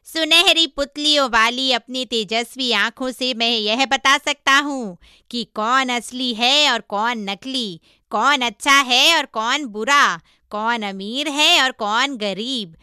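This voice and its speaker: A woman's voice: on the high side, slow, sharp tone, clean tone, no room sound, studio quality, voice pushed up, full character voice. A woman voicing a clever, cheeky male monkey disciple in a storybook tale, speaking emphatically and cajolingly. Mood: boastful.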